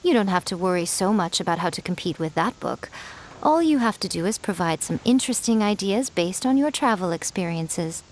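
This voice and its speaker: A woman's voice: mid-range voice, lively intonation, a little fast, a little hiss or hum, lightly acted. An actress voicing a sly, self-assured fox-spirit woman in a video game, teasing and worldly as she mentors. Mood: instructive. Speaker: publisher and mentor.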